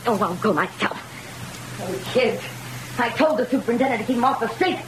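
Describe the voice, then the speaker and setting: An actress playing a bitter, mocking wife in an old-time radio drama, with a sharp, taunting way of talking. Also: female voice, fairly high pitch, brisk, slight background noise, close to an everyday voice, rough recording. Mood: alarmed. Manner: sharp, taunting, working-class phrasing. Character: bitter, mocking young-ish wife, scornful of husband.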